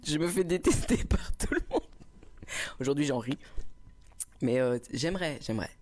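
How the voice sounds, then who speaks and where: A man's voice: on the high side, animated, moderate pace, darkish tone. Playful young man chatting on a livestream. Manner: playful, teasing, self-mocking storyteller. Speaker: livestreamer reading chat comments. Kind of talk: casual livestream chat. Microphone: cheap small clip microphone.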